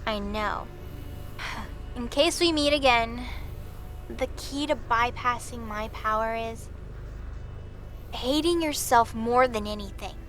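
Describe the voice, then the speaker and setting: A woman's voice: a little high, slow pace, pauses often, fairly bright tone. A woman voicing a cocky young goddess who taunts her foe in a teasing, laid-back drawl, over music. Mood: somber.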